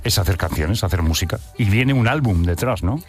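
A man's voice: low voice, lively intonation, brisk, faint music behind. A man hosting a live radio interview in a studio, playful and teasing. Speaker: radio host.

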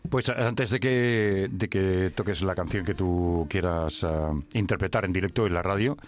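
A man hosting a radio interview in a studio, talking in a chatty, animated way and often repeating words. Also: low-pitched, measured pace. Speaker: radio host.

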